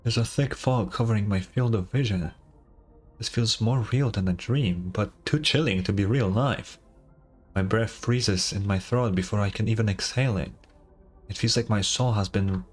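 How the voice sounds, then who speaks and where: A man streams a video game in a fairly low voice. He comments casually and reads story text aloud as he goes. Game music plays in the background.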